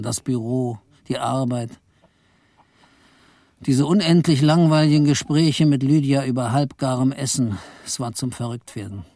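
An actor playing an embittered, henpecked office clerk in a radio play, brooding and theatrical as narrator and husband. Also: male voice, mid-range voice, mellow, dry recording, natural voice. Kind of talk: radio play, dramatic first-person narration and dialogue.

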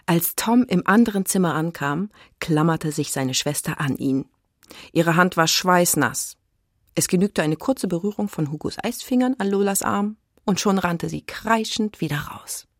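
A woman narrating a children's radio drama in a wry, expressive storytelling style. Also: on the low side, a little slow, no echo, clean studio sound. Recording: music behind the narration.

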